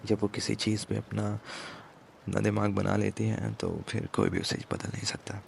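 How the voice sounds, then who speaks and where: Man acting a slow, gravelly mafia boss. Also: level tone, slight background noise. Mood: soft, reflective. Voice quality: low, gravelly, breathy at times. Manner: slow, hushed, deliberate, mixing English words into speech. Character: commanding yet protective male mafia boss, tender with his captive. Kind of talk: ASMR romance roleplay.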